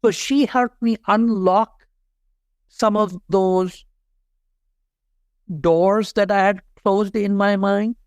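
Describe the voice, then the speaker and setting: A man in his fifties from Pakistan with a Pakistani accent, telling career stories as an interview guest in an earnest, steady way. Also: high voice, takes it slowly, pauses often, clean tone, no echo. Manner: earnest, steady, repeats key points. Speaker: guest, HR leader and author. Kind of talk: interview storytelling.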